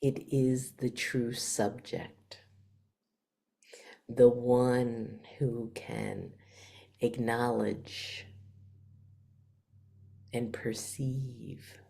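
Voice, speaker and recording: A woman with a very deep voice guides a meditation at an extremely slow pace. Her tone is dark and mellow, and she speaks in hushed, short phrases, leaving long pauses between them. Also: fairly even intonation, vocal fry. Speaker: meditation teacher. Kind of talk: guided meditation, spiritual talk.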